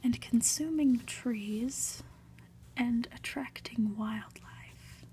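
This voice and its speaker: Woman doing asmr story reading, all whispered, really slow n gentle, soft mellow voice. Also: on the high side. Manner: slow, gentle, hushed delivery with soft asides. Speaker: ASMR reader and host.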